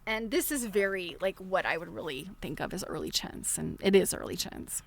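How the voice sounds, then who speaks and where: A woman's voice: fairly low pitch, expressive, normal speed, gravelly. A woman hosting a casual livestream, reading aloud from a book and digressing into chatty, easily amused commentary. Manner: chatty, digressive, often breaking into chuckles.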